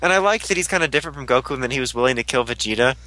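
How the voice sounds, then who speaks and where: A man's voice: mid-range voice, animated, racing, pushed and bright, a little hiss or hum, rough recording. A young man in casual podcast chat, joking crudely and using lots of "like" fillers. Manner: crude jokes, frequent "like" fillers.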